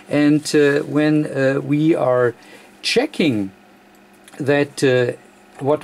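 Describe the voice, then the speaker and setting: A man who is a non-native English speaker gives a technical walkthrough as an electronics presenter, speaking haltingly with many uhs. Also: a little high, a little mellow. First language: not English. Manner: halting, hesitant, frequent uhs. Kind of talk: technical walkthrough of a radio modification. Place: indoor electronics workbench, off camera.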